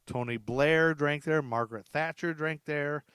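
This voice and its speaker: A man's voice: a little high, unhurried, a bit bright, smooth, no echo. Guy hosting a history podcast, rambles n laughs a lot, dry close sound. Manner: rambling storyteller, laughs often. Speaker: podcast host. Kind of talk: casual history chat.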